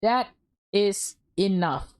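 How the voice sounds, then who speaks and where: A woman's voice: mid-range voice, animated, extremely slow, faint music behind. A woman voicing a stern, scolding mother who teaches at a school, firm and reproachful, in a visual novel. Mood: angry, stern.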